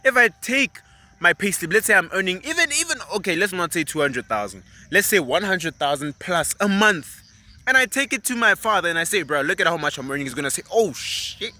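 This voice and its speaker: A young man from South Africa, a vlogger talking in an animated, emphatic and persuasive way in casual motivational chat. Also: high-pitched, a little fast. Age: 20s.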